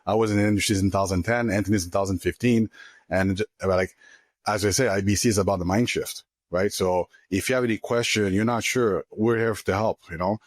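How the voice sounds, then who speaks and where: Man explaining, low voice. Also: steady tone, fairly quick, no room sound. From Quebec, Canada. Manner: earnest, loose grammar, many fillers like "you know". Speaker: financial coach, podcast guest. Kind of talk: casual interview talk.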